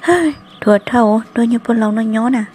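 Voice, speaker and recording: An actress voicing a woman in an animated family drama, in an amateur cartoon style. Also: fairly high pitch, fairly quick, slight background noise, lightly acted. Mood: conversational.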